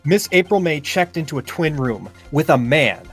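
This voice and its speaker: Defense attorney, voiced by a man: confident, pointed, lively highish delivery, game dub over faint music. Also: normal speed, slightly rough. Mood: pressing, confident. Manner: theatrical, pointed questioning. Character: confident, needling young male defense lawyer. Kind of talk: improvised video game dubbing.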